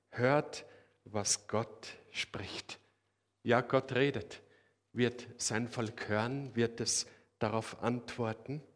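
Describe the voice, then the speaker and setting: A man with an Austrian accent, a pastor preaching a sermon in an earnest, emphatic way. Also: medium pitch.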